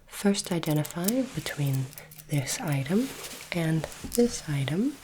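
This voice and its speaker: A woman playing a polite, curious alien interviewer in an ASMR roleplay, speaking formally and deliberately in a soft, breathy whisper. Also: sits low, sing-song, a little hiss or hum, full character voice. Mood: formal, approving. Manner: slow, deliberate, formal phrasing.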